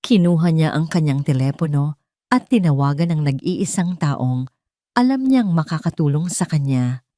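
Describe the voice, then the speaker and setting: A woman's voice: sits low, lively intonation, moderate pace, warm tone, no echo, studio quality. A woman reading a scripted dramatic story in an emotive storytelling manner. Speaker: story narrator.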